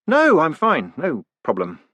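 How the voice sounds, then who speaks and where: A man voicing a quick-witted, polite new postmaster in an audiobook, conversational and slightly flustered. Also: a little high, wildly swooping pitch, bright, forceful tone, dry recording, pitched above the performer's own voice, exaggerated voice. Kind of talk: audiobook character voice.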